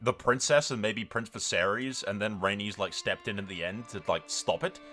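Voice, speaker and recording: A man hosting a game roleplay series, telling the story as he plays in an excitable, dramatic way. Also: on the low side, moderate pace, soft background music. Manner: excitable, dramatic, mild swearing. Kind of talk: lively game playthrough commentary and storytelling.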